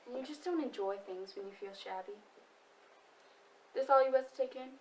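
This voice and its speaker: Blunt farm wife, higher-voiced, distant actress. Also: female voice, normal speed, lightly acted. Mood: dry, defensive. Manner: dry, folksy. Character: blunt, defensive farm wife, loyal neighbor woman. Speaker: stage actor. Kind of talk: amateur stage play dialogue. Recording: distant microphone, noticeable room noise.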